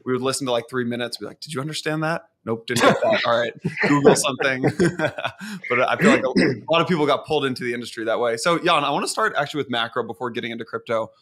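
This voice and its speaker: A young man hosts a podcast from his home office, talking into a studio microphone on a boom arm. He sounds upbeat and chatty, moving quickly through his questions. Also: fairly bright tone. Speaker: podcast host. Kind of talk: casual interview hosting. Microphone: studio mic on boom arm.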